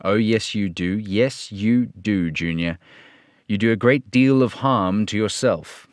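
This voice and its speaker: A man playing an earnest, fatherly police detective in an old radio serial style, measured and authoritative. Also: fairly low pitch, no echo, studio quality. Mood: stern, fatherly.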